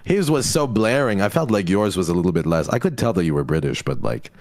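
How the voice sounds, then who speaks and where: A man's voice: low voice, big pitch swings, fast talker, darkish tone, no echo, clean studio sound. A man guesting on a stream in casual chat, talking in a chatty way full of fillers.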